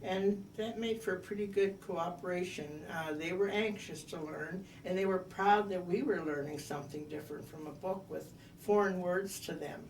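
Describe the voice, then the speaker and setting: A woman in her eighties telling her life story in an oral history interview, measured and reminiscing with frequent pauses. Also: on the low side, a little mellow, reverberant, recorded from a distance, slight background noise, rough recording. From United States. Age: 80s.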